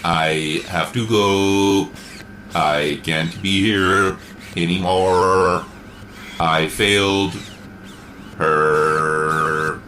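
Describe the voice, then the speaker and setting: Man playing a very slow, low-voiced robot hero. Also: steady tone, bright, forceful tone, a little hiss or hum, exaggerated voice. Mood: anguished, guilty. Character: rebuilt male cyborg boy hero, grief-stricken and self-blaming. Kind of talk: mock radio serial acting.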